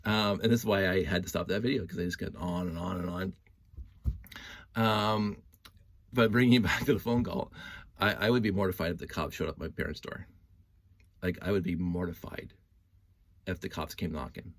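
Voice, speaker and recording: A man's voice: low voice, steady tone, moderate pace, pauses often, no room sound. A man in his fifties giving a casual, opinionated monologue, earnest and often interrupting himself as he thinks. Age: 50s. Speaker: host of a story-time monologue.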